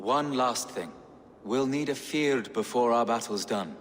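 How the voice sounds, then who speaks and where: An actor playing an anxious, war-weary, pious bishop in a video game, speaking in an earnest, formal way. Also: male voice, medium pitch, unhurried, fairly bright tone, long reverb tail, a little hiss or hum, natural voice. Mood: grave, advising. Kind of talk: dramatic video game acting.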